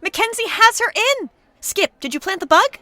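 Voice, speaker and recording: A woman's voice: extremely high pitch, lively intonation, moderate pace, pushed and bright, no room sound, heavily acted. An actress playing Gloria, a fussy female team coordinator who gives bossy, fretting instructions in an audio comedy.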